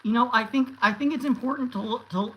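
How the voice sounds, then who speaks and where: A man's voice: extremely high pitch, fast talker, sharp tone. A man in his thirties chatting casually on a livestream and answering viewers, rambling with many restarts and fillers. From United States. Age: 30s. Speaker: YouTube host answering live chat.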